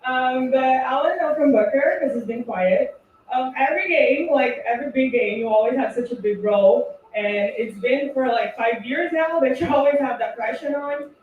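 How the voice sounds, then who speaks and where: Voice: high-pitched, normal speed, a little hiss or hum. Reporter, chatty and friendly, dull lo-fi audio, echoey, far from mic. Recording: dull, echoey, distant sound.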